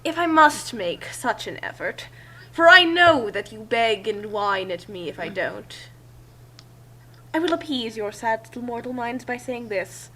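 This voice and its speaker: A woman's voice: a little high, lively intonation, unhurried, pushed and bright, full character voice, low-quality recording. A woman voicing a condescending all-powerful trickster who narrates a comic fan fiction tale in a theatrical, wordy manner. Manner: theatrical, haughty, grandly wordy. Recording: clear background noise and hum.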